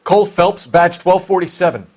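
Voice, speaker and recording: An actor playing an earnest, by-the-book American police detective in a 1940s crime game, clipped and authoritative. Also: male voice, sits high, steady tone, normal speed, darkish tone, a little hiss or hum, close to an everyday voice. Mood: businesslike. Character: earnest, by-the-book young male police detective, war veteran.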